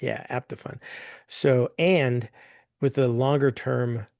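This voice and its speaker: A man chairs a board meeting in a hoarse, gravelly voice, with plenty of "um"s and restarts as he works through the items. The recording is dry and close, with no room echo. His pitch sits in the middle range for a man. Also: fairly even intonation, slow pace, a little mellow. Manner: plain, rambling, with many fillers. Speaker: governing council chair.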